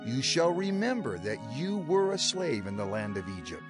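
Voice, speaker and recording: An actor playing a solemn, commanding old male lawgiver in a dramatized scripture reading, with measured declamation. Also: medium pitch, big pitch swings, lightly acted. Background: background music.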